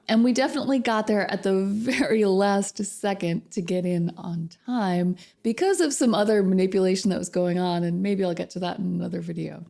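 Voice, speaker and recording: A woman coach with a slightly hoarse voice from a cold, chatting directly to viewers about relationships and asking them questions. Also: mid-range voice, warm tone. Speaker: narcissistic abuse recovery coach. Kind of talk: casual direct-to-camera advice talk.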